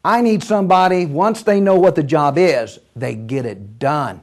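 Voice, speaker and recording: A man voicing a shrewd old business tycoon, calm, measured and authoritative, in a told story. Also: fairly high pitch, close to an everyday voice. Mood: stern, measured.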